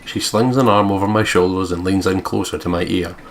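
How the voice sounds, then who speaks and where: A man's voice: low voice, fairly even intonation, brisk. A man playing through a game, reading its text aloud and adding sweary, jokey commentary. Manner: sweary, jokey, giggly, puts on mock quotes. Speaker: let's play commentator.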